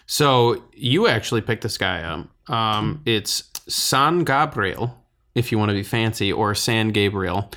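A man's voice: on the low side, expressive, a little slow, bright, forceful tone. A man co-hosting a casual beer podcast, speaking in a laid-back, informal way. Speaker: podcast co-host.

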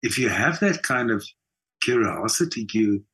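Man, South African accent, rather low pitch, raspy tone, conversational, seeks agreement. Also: animated, unhurried, a bit bright. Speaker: interview guest; skills trainer. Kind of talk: casual conversational storytelling.

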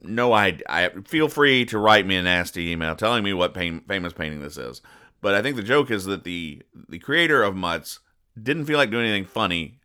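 Low, crisp-voiced man, deadpan comic commentary. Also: normal speed. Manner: deadpan, mock-exasperated. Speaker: podcast host. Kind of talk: comic commentary reading newspaper comics aloud.

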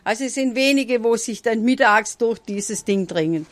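A woman's voice: on the high side, expressive, normal speed. Chatty Swabian shopkeeper woman. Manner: chatty, folksy. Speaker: local shopkeeper. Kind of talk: casual chat on the street. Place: outdoors on a town street.

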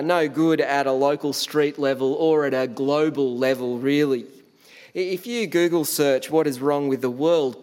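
A man's voice: mid-range voice, in a large hall. A man from Australia, preaching a sermon in a conversational way, with frequent fillers and restarts.

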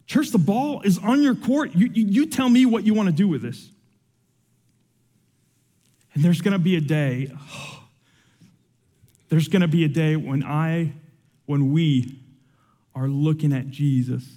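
Young man preacher, earnest, emotional, conversational; slightly higher voice. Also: measured pace, pauses often, warm tone, big-room sound. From Cuba. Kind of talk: sermon with personal testimony.

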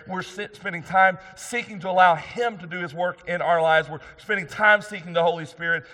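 A man preaching a sermon to his church, loud and emphatic, rising for key points. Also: fairly high pitch. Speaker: pastor. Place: church sanctuary. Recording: long hall reverb.

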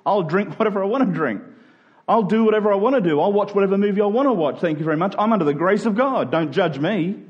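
A man's voice: high voice, expressive, fast, lo-fi sound. A man preaching a conversational sermon, emphatic and rhetorical, often voicing imagined objections.

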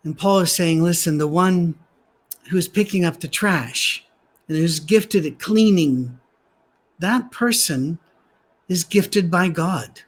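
A man teaching a Bible lesson in a soft voice, conversational and dryly humorous. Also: on the high side, takes it slowly, slightly rough. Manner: dry humor, conversational asides. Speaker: preacher. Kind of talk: sermon-style Bible teaching.

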